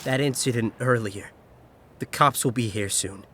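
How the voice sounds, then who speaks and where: Guy doing a level-headed detective-type friend, horror game dub, mid voice, thinks out loud, bit of noise. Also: mid-range voice, moderate pace, natural voice. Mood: pondering. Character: level-headed, inquisitive male companion in a horror mystery. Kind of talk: visual novel fan dub acting.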